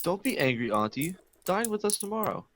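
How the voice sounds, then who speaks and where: A young man playing a cheerful, earnest, good-hearted nephew in an amateur radio play, pleading warmly with his relative. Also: high-pitched, very animated, brisk, a little hiss or hum, heavily acted.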